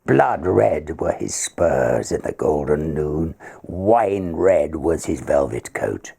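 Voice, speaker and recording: A man reads literary tales with theatrical flair. His voice is extremely deep and heavily gravelled, with a husky grain, and his delivery is expressive and dramatic. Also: slow. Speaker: ghost story reader. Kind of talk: dramatic literary reading, verse and prose.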